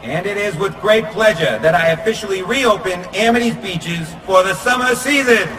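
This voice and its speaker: A man's voice: sits high, moderate pace, distant mic, a crowd in the background, background noise, natural voice. An actor playing a male town mayor who makes formal speeches to the public.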